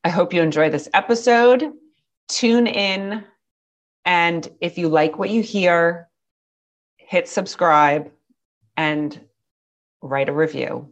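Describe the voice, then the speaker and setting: A woman hosts a podcast, her voice a little raspy and set fairly low. She talks in a calm, measured way as she welcomes her guest and asks about her journey. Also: muffled recording. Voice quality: slightly raspy. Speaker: podcast host. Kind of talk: scripted intro then interview.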